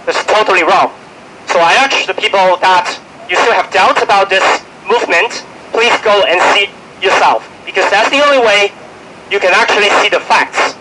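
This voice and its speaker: A high, bright-voiced man with a Hong Kong English accent, sounding hoarse from a cold. He pleads urgently and stumbles through fillers and restarts. Faint street noise runs behind him. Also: normal speed, low-quality recording. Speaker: finance worker speaking at a press conference. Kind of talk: impassioned press statement. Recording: outdoor press event with faint street noise.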